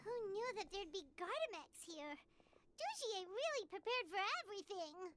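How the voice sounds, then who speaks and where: A woman voicing a tiny, childlike, outspoken fairy companion in a video game, with a squeaky, chirpy voice. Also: very animated, normal speed, noisy background. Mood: concerned, curious. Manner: refers to self in third person. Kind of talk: animated video game dialogue.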